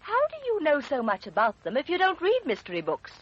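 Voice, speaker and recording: A woman's voice: high-pitched, huge pitch swings, brisk. A woman playing a teasing, arch lady companion of a sleuth in an old radio drama. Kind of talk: 1940s radio acting. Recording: dull, noisy old radio recording.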